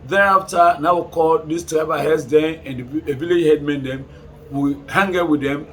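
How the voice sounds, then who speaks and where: A man in his forties with a Sierra Leonean Krio accent, a council chairman calmly explaining his work in an office interview. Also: on the high side. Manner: measured, explanatory, with fillers. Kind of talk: interview answer. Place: office, indoors.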